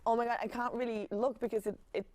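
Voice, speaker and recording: A woman's voice: on the high side, steady tone, fast talker, noisy background. A young woman from Austria, talking in a casual interview and speaking hesitantly with many fillers and restarts. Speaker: studio guest, circus performer.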